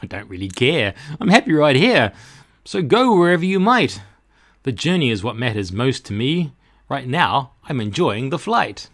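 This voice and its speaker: A little storybook cork played by a man drifts along, contented and philosophical. The lines come in rhyme, with a very mobile, expressive pitch. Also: normal speed. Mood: happy, carefree. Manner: rhyming, philosophical. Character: small, contented, dreamy wandering cork. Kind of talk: storybook character read-aloud.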